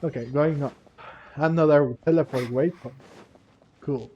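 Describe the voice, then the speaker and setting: A man's voice: on the high side, measured pace, dull audio. A man giving casual playthrough commentary on a video game, musing hesitantly as he thinks aloud. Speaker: gaming video creator, player. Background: game music.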